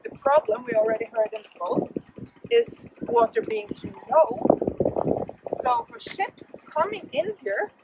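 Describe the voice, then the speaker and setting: A woman from Norway, guiding a walking tour outdoors at a harbor, halting and full of filler sounds. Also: extremely high pitch, very animated, vocal fry. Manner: halting, many filler sounds, loose grammar. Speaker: tour guide. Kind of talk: guided walking tour talk. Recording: loud outdoor street noise.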